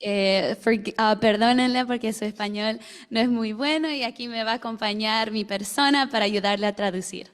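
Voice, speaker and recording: A woman's voice: fairly high pitch, steady tone, moderate pace. Woman interpreter in a reverberant hall. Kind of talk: consecutive interpreting.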